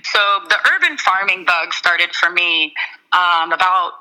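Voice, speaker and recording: A woman, the guest, explaining her urban farm in a casual interview, steady with frequent ums. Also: on the low side, unhurried, rough recording.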